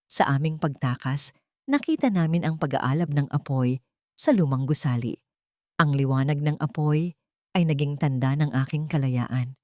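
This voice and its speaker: A woman narrating a dramatic first-person audio story in a solemn, deliberate manner. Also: low voice, animated, warm tone, no echo, studio quality.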